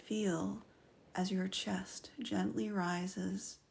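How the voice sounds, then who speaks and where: A woman guides a meditation in a hushed, partly whispered voice, drawing every phrase out very slowly. Her pitch sits mid-range for a woman. A faint ambient hiss lies under the recording. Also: flat delivery, creaky. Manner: soft, slow, often whispered.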